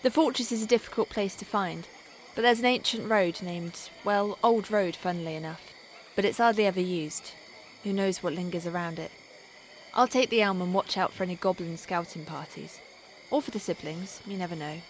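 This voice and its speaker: A woman's voice: on the low side, normal speed, slight background noise, close to an everyday voice. A woman playing a businesslike half-elf scout in a fantasy audio drama, talking in a conversational, matter-of-fact way. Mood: serious.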